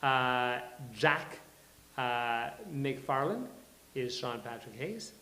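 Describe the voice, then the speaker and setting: Male host, mid-pitched, slow halting script narration, live venue, roomy echo. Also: lively intonation, bright, forceful tone. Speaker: host and stage-direction reader. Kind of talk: live table read, announcing and narrating script.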